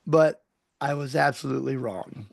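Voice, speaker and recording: A male interview host with a gravelly, creaky voice talks with effusive, gushing enthusiasm, often restarting his phrases. He is recorded dry and close, with no room around him. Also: mid-range voice, moderate pace, no echo. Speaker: show host.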